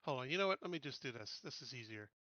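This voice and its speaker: A man in his fifties with a very bright, forceful voice at medium pitch. He talks bluntly and casually as a tabletop player, on a close, dry recording that is slightly muffled. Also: expressive, rapid-fire, no room sound. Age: 50s. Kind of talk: casual game chat.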